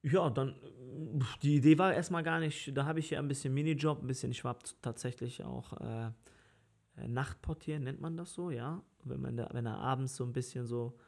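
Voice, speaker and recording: A man's voice: medium pitch, normal speed, vocal fry, a little mellow. A man in his thirties, a party organizer, chatting casually on a podcast with lots of fillers and easy laughter. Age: 30s. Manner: easygoing, laughs often, many fillers.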